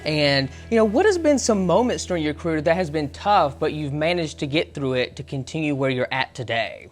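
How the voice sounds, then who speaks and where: A man's voice: a little high, very animated, brisk. A man with a Southern American accent, hosting the interview in a bubbly, chatty way. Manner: bubbly, uses y'all. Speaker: entertainment interviewer. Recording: music under the voice.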